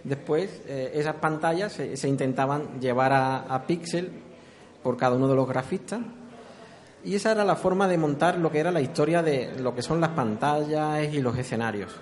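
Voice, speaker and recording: A middle-aged man with an accent from Spain, telling anecdotes conversationally as an interviewee, explaining in halting, repeated phrases. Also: fairly high pitch. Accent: Spanish from Spain. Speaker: interviewee, former video game programmer.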